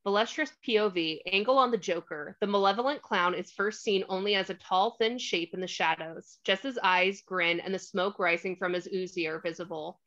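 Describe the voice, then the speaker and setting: A woman's voice: mid-range voice, moderate pace, few pauses, a bit bright. A woman reading scripted stage directions as narrator of a screenplay table read, on a slightly dull microphone.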